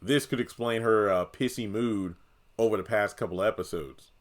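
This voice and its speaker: A man with a lowish voice, the kind heard on a fan's recap channel, chatting to viewers as he puzzles out last night's episode. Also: moderate pace. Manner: conversational, thinks aloud, addresses viewers directly. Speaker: video commentator. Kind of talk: casual opinion commentary on a TV drama.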